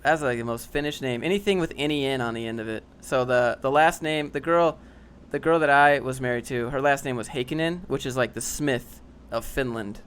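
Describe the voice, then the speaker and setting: A man's voice: mid-range voice, a little fast, hardly any pauses, fairly bright tone, slight background noise. A young man casually reading and answering chat on a livestream, dry and sarcastic, often rambling.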